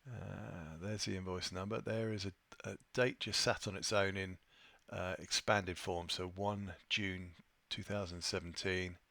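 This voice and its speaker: A man presenting an unscripted software tutorial, thinking aloud with a hesitant delivery as he walks through his screen. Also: deep voice, little pitch movement, unhurried, creaky, hardly any pauses, no room sound. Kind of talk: unscripted screen-share walkthrough.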